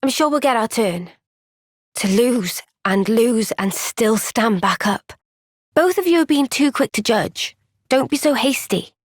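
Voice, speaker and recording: A woman voicing an earnest, kind young woman soldier in a video game, speaking gently and sincerely in a thoughtful, resolute way. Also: a little high, expressive, a little slow, no room sound, clean studio sound. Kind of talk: video game cutscene voice acting.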